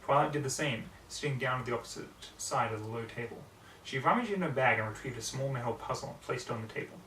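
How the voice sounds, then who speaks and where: A man's voice: medium pitch, fast, bright, forceful tone. A man reading scripted fiction aloud as an amateur audiobook narrator. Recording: roomy, distant mic, faint background noise.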